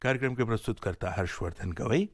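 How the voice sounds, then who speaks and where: A man's voice: on the low side, quick pace, no echo, studio quality. A man with an Indian accent narrating a folk tale expressively as a radio storyteller, in a dramatic scripted style. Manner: dramatic, animated storytelling delivery. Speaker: storyteller and presenter on a radio show.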